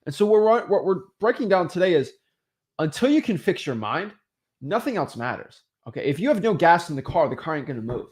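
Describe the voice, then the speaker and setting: A young man and host delivers a motivational coaching talk, pushing his points with rhetorical questions and constant "right?" check-ins. His voice sits somewhat high for a man and swings widely in pitch. The delivery is emphatic and lively throughout. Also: fairly quick. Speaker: online real estate coach.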